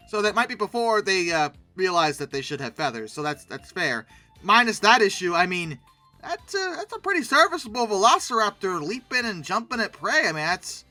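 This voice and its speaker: A commentator giving casual, humorous commentary in a rambling, animated, mock-indignant way, with conversational asides. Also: mid-range voice. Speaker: host reviewing old dinosaur illustrations.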